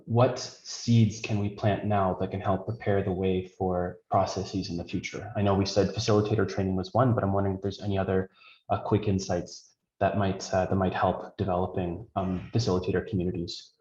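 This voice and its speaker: A man's voice: low-pitched, level tone, moderate pace, a little mellow. A man asking a question in a webinar, polite and thoughtful with frequent ums, over a dull, echoey video call.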